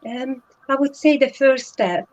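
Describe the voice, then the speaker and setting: Woman, earnest and halting. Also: high voice, a little mellow, rough recording. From Hungary. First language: Hungarian. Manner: earnest, many fillers and restarts. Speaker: guest, online business partner. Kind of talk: casual live-stream chat.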